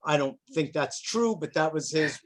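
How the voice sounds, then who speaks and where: A man moderating an online panel, effusive and flattering, laughing often. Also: on the high side, moderate pace.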